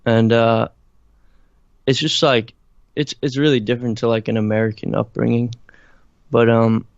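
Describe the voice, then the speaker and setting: Young man, faint Irish lilt, flat gravelly voice. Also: mid-range voice, no room sound. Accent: slight Irish. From Ireland. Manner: halting, many fillers and restarts. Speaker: interviewed musician. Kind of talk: casual interview chat. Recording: dry, muffled lo-fi recording.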